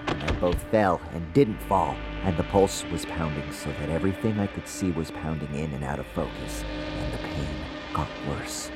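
A man's voice: a bass voice, normal speed. A man playing an uneasy narrator in an audio drama, recounting a haunting in a conversational first-person way. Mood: strained, fading. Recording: music bed under the voice.

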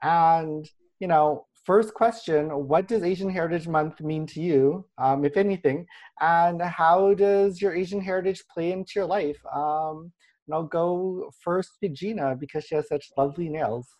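A podcast host steering a relaxed group conversation. The voice sits at a middle pitch, with a chatty, easygoing delivery and frequent laughter between questions. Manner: chatty, laughs often, quick asides. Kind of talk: casual chat.

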